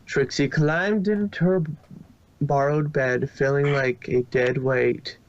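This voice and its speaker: A man's voice: mid-range voice, steady tone, takes it slowly, slight background noise. Man reading a story, dull lo-fi recording. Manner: stumbles over words and repeats phrases. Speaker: fan fiction reader and narrator. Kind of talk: scripted story narration.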